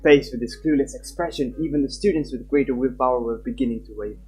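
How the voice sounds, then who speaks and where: A streamer reading a visual novel aloud live and chatting casually with viewers. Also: male voice, medium pitch, slightly rough, soft background music. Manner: animated, chatty, quick laughs.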